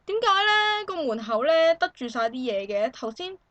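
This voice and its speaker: A woman's voice: sits high, moderate pace, no echo. A woman with a Hong Kong Cantonese accent acting an expectant young woman visiting a friend in a satirical radio drama, chatty, exclamatory and indignant. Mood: reassuring. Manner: animated, exclamatory, colloquial.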